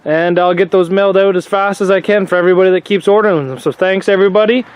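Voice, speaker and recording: A young man with a noticeably high voice talks casually. He is stuffy and sniffling from a cold. His speech rambles along, full of easygoing fillers. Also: steady tone, fast, clear, resonant tone, rough recording. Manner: laid-back, rambling, frequent "uh" fillers. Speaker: demolition derby builder and vlogger. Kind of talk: casual vlog chat. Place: home workshop or garage.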